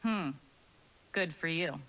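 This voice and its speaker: An actress playing a tough, sardonic rebel leader in a video game, wry and resolute. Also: female voice, deep voice, slow, fairly bright tone, exaggerated voice. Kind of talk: video game cutscene acting.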